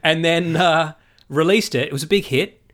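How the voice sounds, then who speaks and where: A man co-hosting a podcast in casual movie chat, telling things in an animated, sweary way and checking in with his listener. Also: fairly high pitch, moderate pace. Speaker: podcast co-host.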